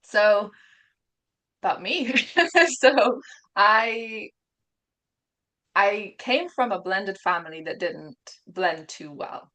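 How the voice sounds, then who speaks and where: A woman's voice: medium pitch, lively intonation, drawn-out words, long pauses, fairly bright tone. A woman from England and Gibraltar with a mixed British accent, a guest on a video call telling her life story openly and earnestly. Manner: open, earnest, laughs often while telling her story. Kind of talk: personal story in an interview.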